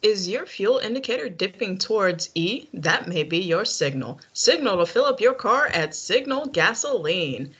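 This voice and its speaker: Girl doing a radio ad lady, husky, real animated sales pitch. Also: normal speed, hardly any pauses. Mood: salesy. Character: peppy radio gasoline commercial spokeswoman. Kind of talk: scripted radio ad read.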